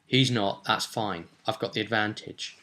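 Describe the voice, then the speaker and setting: Man playing a human horseback scout, fantasy tabletop game, uneasy, blunt muttering, lowish voice, forceful edge. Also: steady tone, moderate pace. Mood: uneasy, scheming.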